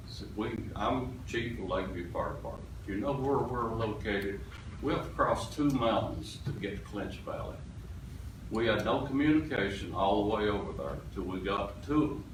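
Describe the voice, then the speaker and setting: An older man with a deep voice speaks as a fire chief at a public meeting. He is plain and matter-of-fact, picked up from far off in a heavily reverberant room. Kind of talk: informal public comment. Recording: very echoey, distant mic, noisy room.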